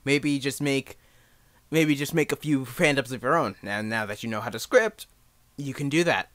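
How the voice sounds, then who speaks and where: A young man giving a casual, unscripted tutorial in a rambling, halting, self-deprecating way. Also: medium pitch, a bit bright, dry recording. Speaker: amateur voice actor giving advice.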